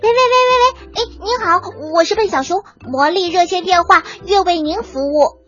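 High childlike bear cub, eager, kind, bumbling, excitable, children's storybook, muffled lo-fi sound, faint music. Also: female voice, normal speed. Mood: eager, helpful. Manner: stammering, excitable, reassuring. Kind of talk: children's audio storybook acting. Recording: band-limited dull sound with children's music.

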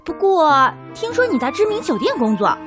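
A woman's voice: sits high, sing-song, normal speed, over music. A woman acting a scatterbrained, outspoken young food lover in a radio drama, chatty and impulsive. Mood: chatty, upbeat. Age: young adult.

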